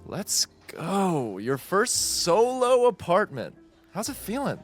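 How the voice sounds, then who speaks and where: A man's voice: high-pitched, wildly swooping pitch, full character voice. Gossipy young guy, teasing, voice swoops around a lot, light music under it. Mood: curious, teasing. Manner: teasing, halting, insinuating. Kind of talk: naturalistic comedy audio drama acting.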